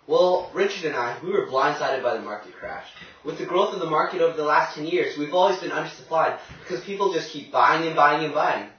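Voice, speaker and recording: Man as worried 1930s working man, higher voice, very echoey. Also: a little fast, a bit bright, close to an everyday voice. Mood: troubled. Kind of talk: amateur radio drama interview acting. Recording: very echoey, distant, dull recording.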